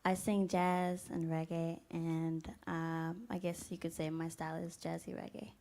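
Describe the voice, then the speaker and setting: A young woman singer from Toronto, Canada, talking softly in a small voice as a guest in a radio studio on a handheld mic. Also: fairly low pitch, little pitch movement, a little slow, creaky. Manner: soft, small voice, giggly.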